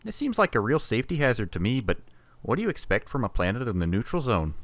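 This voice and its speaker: A man playing a wry, casual mercenary engineer in a sci-fi audio drama, talking in a conversational, animated way. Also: medium pitch, quick pace, dry recording. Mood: wry, analytical.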